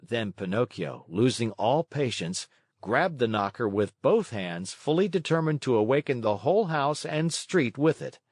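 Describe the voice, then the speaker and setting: A man's voice: medium pitch, very animated, normal speed, a little mellow, breathy edge, no room sound, clean studio sound. A man narrating a children's read-along storybook from a script.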